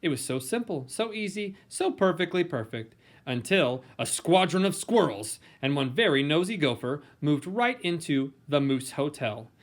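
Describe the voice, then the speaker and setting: Male storybook reader, slightly high voice, playful and theatrical delivery for young listeners. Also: animated. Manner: theatrical, playful, dramatic emphasis and pauses. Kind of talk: expressive read-aloud of a children's picture book.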